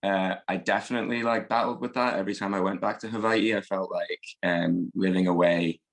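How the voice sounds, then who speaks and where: A man in his thirties with a slightly hoarse voice, reflecting at an easy pace in a casual group discussion, with many fillers. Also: sits low, fairly even intonation, brisk. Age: 30s. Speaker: discussion participant.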